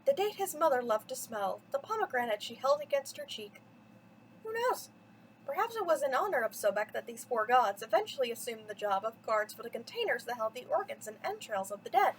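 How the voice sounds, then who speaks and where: A woman's voice: fairly high pitch, sing-song, brisk, slight background noise, low-quality recording. A woman reading a mythology story aloud for children as a storyteller, with dramatic, theatrical emphasis. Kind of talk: expressive bedtime story read-aloud.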